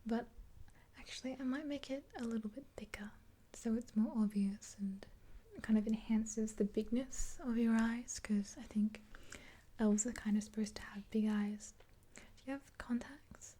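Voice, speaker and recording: A woman in her twenties whispering gently and unhurriedly in a casual, rambling ASMR roleplay, close to the mic. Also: fairly high pitch, steady tone, normal speed. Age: 20s. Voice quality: breathy whisper. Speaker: ASMR roleplay performer as makeup artist. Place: small indoor room, dark backdrop.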